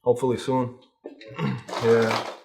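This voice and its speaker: Young male artist from Palestine; mellow, unhurried, monotone talker in a barbershop. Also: vocal fry, noisy background. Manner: laid-back, low-key, flat and unhurried. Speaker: hip hop artist guest. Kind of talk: casual interview chat. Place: barbershop, getting a haircut.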